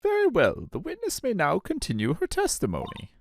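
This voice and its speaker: A man's voice: high voice, wildly swooping pitch, fairly quick, no echo, voice pushed up, full character voice. A man voicing a flustered, dim old male judge while reading a video game aloud. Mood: formal. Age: older.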